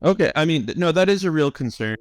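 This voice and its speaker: A man answering questions as a science officer on a conference call, explaining in a measured, conversational way. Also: on the high side, lively intonation, no room sound, studio quality.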